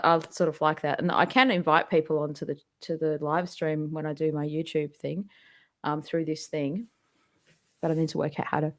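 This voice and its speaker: A woman with a Western Australian accent chats easily into her desktop microphone at home. Her voice sits a little low, and she rambles along with plenty of ums and quick laughs. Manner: chatty and rambling, laughs often, many ums. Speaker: musician hosting a live fan Q&A. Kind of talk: casual live-stream chat. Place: home, indoors. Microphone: desktop microphone at a computer.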